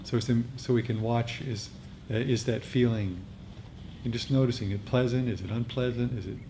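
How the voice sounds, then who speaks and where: A man leading a meditation group, speaking off the cuff in a reflective, halting way with repeated phrases. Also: mid-range voice, fairly even intonation, mellow. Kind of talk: unscripted reflective talk on meditation practice. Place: meditation group meeting room. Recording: noisy live room recording.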